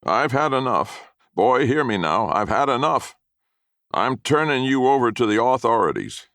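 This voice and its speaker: A man's voice: medium pitch, expressive, normal speed, fairly bright tone, no room sound, studio quality, voice pushed up. A man voicing a mean, blustering old grandfather with folksy rural speech in a story reading. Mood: angry, threatening.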